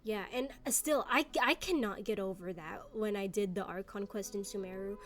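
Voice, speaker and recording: A woman's voice: mid-range voice, moderate pace, a bit bright. A woman giving casual gameplay commentary, rambling with lots of fillers and slang. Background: game music and dialogue.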